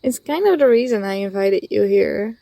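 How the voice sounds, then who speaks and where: A young woman playing an affectionate, teasing university student in an intimate ASMR roleplay, speaking softly with a tender, hesitant delivery. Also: mid-range voice, lively intonation, normal speed, no echo, natural voice. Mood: pleased, teasing.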